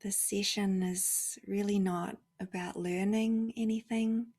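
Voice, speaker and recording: A woman leading a guided meditation, softly and gently, often hushed to a near-whisper, with long pauses between phrases. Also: mid-range voice, steady tone, breathy edge.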